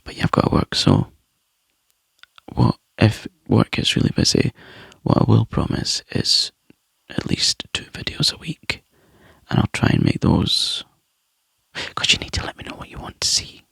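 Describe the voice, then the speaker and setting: Scottish man, ASMR whisperer, chatty and rambling, lowish breathy voice, dry close sound. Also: wildly swooping pitch, moderate pace, fairly bright tone, no room sound. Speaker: ASMR YouTuber.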